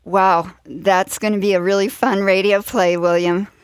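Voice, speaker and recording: A woman's voice: medium pitch, flat delivery, normal speed, clear, resonant tone. A woman hosting a radio show from a studio, introducing segments and interviewing guests.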